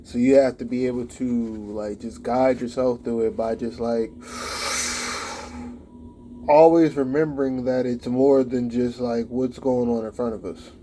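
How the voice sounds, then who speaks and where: A man's voice: medium pitch, steady tone, measured pace, dark tone, a little hiss or hum. A man in his late twenties giving casual spiritual advice in a rambling monologue, full of "like" fillers. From United States. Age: late 20s.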